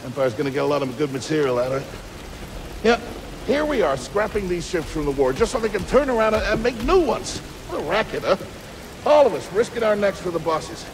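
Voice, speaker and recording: A weathered older alien dockworker in a sci-fi game. The voice is gravelly and fairly high, swinging freely in pitch as it rambles like a world-weary mentor. Rain and machinery rumble clearly behind it. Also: male voice. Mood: chatty, wry. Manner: chatty, halting, expressive. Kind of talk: cinematic video game acting.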